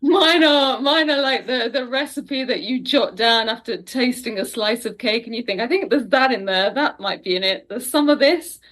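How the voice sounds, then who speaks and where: A woman's voice: a little high, moderate pace, hardly any pauses, a bit bright. A woman talking casually and anecdotally as a writer being interviewed. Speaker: audio drama writer, podcast guest.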